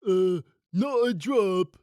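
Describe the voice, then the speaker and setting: A woman voicing a dim, reluctant, lazy male henchman in a storybook, with a dopey, drawn-out delivery. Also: medium pitch, animated, dark tone, dry recording, studio quality, pitched above the performer's own voice. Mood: doubtful.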